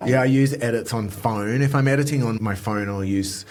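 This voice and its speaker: A middle-aged man from Australia talking in a casual interview, blunt and emphatic, with a voice that can turn croaky and a little nasal. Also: mid-range voice, darkish tone. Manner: blunt, emphatic, lists things in quick runs. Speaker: makeup artist, guest.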